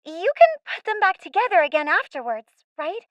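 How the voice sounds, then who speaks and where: A woman's voice: extremely high pitch, sing-song, normal speed, no echo, dull audio. A woman playing an earnest, hopeful young girl castaway in a radio play.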